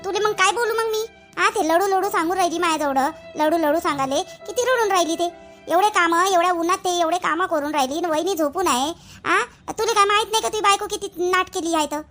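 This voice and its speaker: Woman doing indignant nagging young sister in a cartoon drama, varhadi accent, super high and sharp, bit of music. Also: fairly quick. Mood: accusing. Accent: Varhadi Marathi (Vidarbha). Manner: accusing, nagging. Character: indignant young sister scolding her brother. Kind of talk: animated family drama acting.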